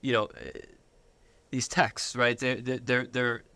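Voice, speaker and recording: A man speaking as an interview guest, conversational and halting, often repeating words as he searches for phrasing. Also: mid-range voice, flat delivery, bright, forceful tone, no room sound. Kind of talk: conversational personal testimony.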